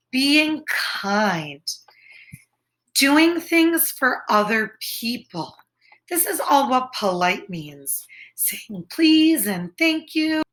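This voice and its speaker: High, bright woman teaching young children. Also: low-quality recording. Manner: clearly enunciated, explanatory, simple phrasing. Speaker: children's read-aloud teacher. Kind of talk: lesson and story reading for children.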